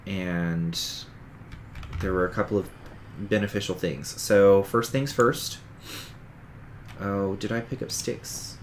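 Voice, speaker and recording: A man's voice: fairly low pitch, steady tone, takes it slowly, slight background noise. A man with a stuffy, sniffly voice from a cold, casually talking through a survival game as he plays, rambling and correcting himself. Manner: rambling, self-correcting, talks through each game step. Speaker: gaming streamer. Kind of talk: casual let's-play commentary.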